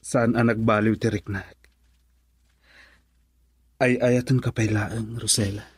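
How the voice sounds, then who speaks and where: A man's voice: lowish voice, little pitch movement, measured pace, husky, no echo, clean studio sound. A Filipino man playing an earnest, lovelorn suitor in a radio drama, speaking with pleading devotion.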